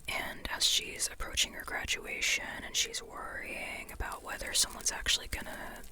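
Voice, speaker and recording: An ASMR host whispering in an informal, rambling way, softly and unhurriedly, often repeating phrases. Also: moderate pace. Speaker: ASMR host reviewing a book.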